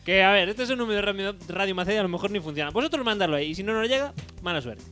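A man's voice: high-pitched, animated, very fast, sharp tone, faint music behind. A man hosting a casual radio show, teasing his co-hosts with frequent laughter. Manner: laughing, teasing, leads the segment. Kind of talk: casual chat.

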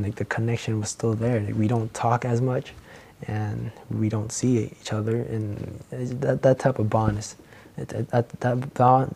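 A man's voice: lowish voice, vocal fry, a little hiss or hum. A teenage boy hosting a solo podcast, rambling casually with lots of fillers and restarts. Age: teens. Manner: meandering, many fillers and restarts, soft laughs. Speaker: podcast host.

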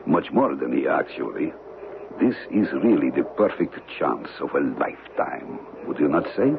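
Very deep, calculating smuggler. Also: male voice, sing-song, gravelly, exaggerated voice. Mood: scheming, persuasive. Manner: deliberate, worldly, formal phrasing with dramatic pauses. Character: shady, calculating male smuggler of stolen antiquities. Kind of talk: old-time radio mystery acting. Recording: muffled, noisy old radio broadcast recording.

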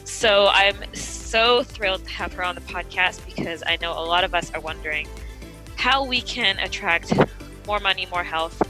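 A woman's voice: on the low side, moderate pace, pushed and bright, soft background music, poor audio. A woman hosting a health podcast, introducing her guest and asking questions in an upbeat, enthusiastic way. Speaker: podcast host, nutrition practitioner and health coach.

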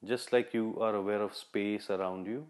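A man leading a guided meditation in short, repeated instructional phrases, measured and with long pauses. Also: on the low side, steady tone, darkish tone. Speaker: meditation guide, podcast host.

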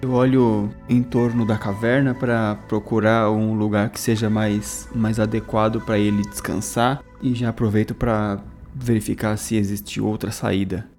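A man's voice: on the low side, level tone, measured pace, darkish tone, soft background music. A Brazilian man talking casually as a player in a tabletop roleplaying game.

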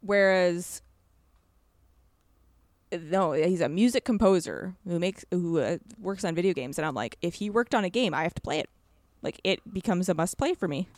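Woman, lowish pitch, enthusiastic, emphatic, casual review chat, dry recording. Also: lively intonation, normal speed, a little mellow, no echo. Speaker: podcast co-host discussing video games.

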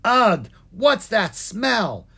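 A cranky male wizard as a storybook narrator acts him out, blustering and indignant. The voice jumps high and husky as he threatens and protests. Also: a little slow, close to an everyday voice. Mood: disgusted, irritated. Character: grumpy, furious male wizard shopkeeper.

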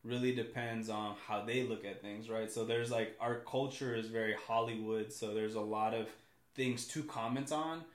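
A man's voice: on the low side, fairly even intonation, normal speed, echoey room. A man from California, a guest on a casual podcast, talking in a rambling way with lots of fillers and repeated words.

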